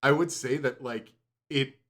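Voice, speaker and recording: A man's voice: medium pitch, measured pace, fairly bright tone. A man chatting casually and informally as a podcast reviewer, rambling as he goes. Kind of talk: casual chat.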